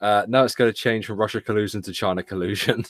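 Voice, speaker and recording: A man co-hosting a stream who jokes and reads the chat aloud. His voice sits a little low and sounds close and dry. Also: steady tone, quick pace, fairly bright tone, no room sound. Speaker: stream co-host.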